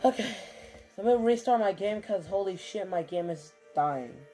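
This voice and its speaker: A man's voice: sits high, animated, normal speed, dark tone, soft background music. A man chatting casually to his stream while he plays, rambling with lots of fillers and offhand swearing, keyboard clicks behind him. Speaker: game streamer.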